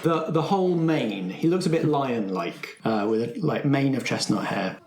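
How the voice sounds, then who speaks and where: A man's voice: mid-range voice, expressive, normal speed, a little mellow. Man game master, echoey room. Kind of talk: casual improvised narration of a tabletop game.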